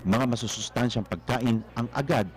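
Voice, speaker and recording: A man's voice: medium pitch, moderate pace, noisy background. A man with a Filipino accent reading scripted narration for a news feature.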